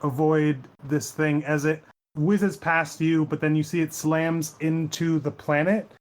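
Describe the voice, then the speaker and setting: A man running a tabletop game as game master, narrating in a quick, casual, joking way. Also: fairly high pitch, flat delivery.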